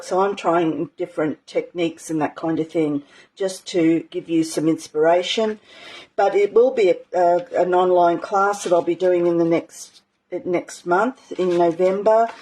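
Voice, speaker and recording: A woman teaching a live art journaling session in a casual, rambling way, with many ums and a slightly croaky voice. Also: on the low side, warm tone, poor audio. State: croaky, clearing her throat. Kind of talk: casual live craft demonstration.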